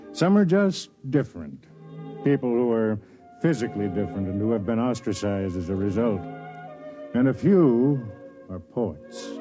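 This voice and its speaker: An actor playing a weary, kindly middle-aged overseer of a space waystation in a 1950s radio drama, measured and reflective. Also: male voice, mid-range voice, close to an everyday voice. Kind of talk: 1950s radio drama acting, first-person narration. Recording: 1950s radio broadcast with music.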